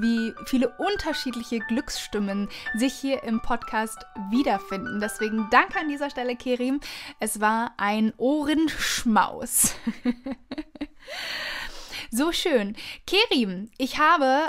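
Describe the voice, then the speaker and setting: A woman's voice: on the high side, a bit bright. A woman hosting a podcast interview in a conversational, effusive and enthusiastic way. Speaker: podcast host. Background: background music.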